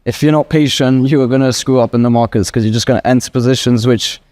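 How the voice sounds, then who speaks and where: A man's voice: mid-range voice, fairly even intonation, fast, darkish tone. A man of twenty answering interview questions in a casual, earnest way, with lots of filler words. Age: 20s. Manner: earnest, rambling with frequent "like" fillers. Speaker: guest trader.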